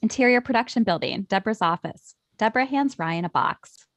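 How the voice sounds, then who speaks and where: A woman's voice: medium pitch, animated, brisk, no echo. A woman narrating script stage directions in a matter-of-fact way.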